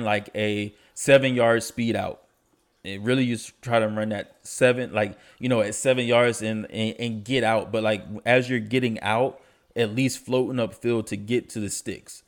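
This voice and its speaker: A young man hosting a casual sports podcast, stuffy from allergies, talking in a laid-back, slangy way. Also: medium pitch. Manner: laid-back, slangy, enthusiastic about stats. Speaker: podcast host.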